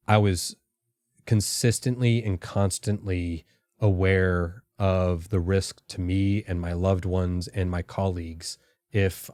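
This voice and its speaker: A middle-aged man, a podcast guest and former intelligence officer, answering in a careful, halting, reflective way. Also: deep voice, a little mellow, dry recording.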